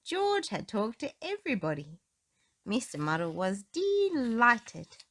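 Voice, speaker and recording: Animated woman reading a children's storybook. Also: on the high side, slow. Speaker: children's book narrator. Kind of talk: expressive storybook read-aloud.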